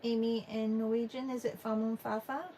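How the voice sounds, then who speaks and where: A woman's voice: a little high, normal speed, slight background noise. A middle-aged Englishwoman, chatting casually as she draws on a livestream and reads chat messages aloud. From England. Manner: relaxed and chatty, thinking aloud with fillers. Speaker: art livestream host. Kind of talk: casual chat while drawing, reading chat aloud.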